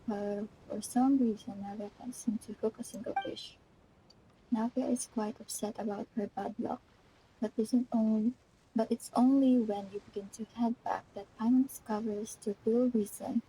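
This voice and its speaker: A woman's voice: mid-range voice, warm tone, a little hiss or hum, low-quality recording. A woman live-streaming a video game, reading story text aloud and reacting casually. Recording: game music behind the voice.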